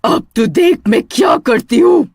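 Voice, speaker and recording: A woman voicing a menacing, smug witch in a horror story, with drawn-out delivery. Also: high voice, animated, creaky, no echo, studio quality, pitched above the performer's own voice, heavily acted. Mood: menacing glee. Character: menacing female witch, smug and slow-talking.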